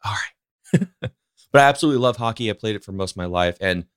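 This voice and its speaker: A man's voice: fairly low pitch, animated, fast talker, a bit bright, clean tone, no echo, clean studio sound. A man in his thirties hosting a solo podcast, rambling in a casual, slangy, upbeat way and swearing freely. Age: 30s. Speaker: podcast host.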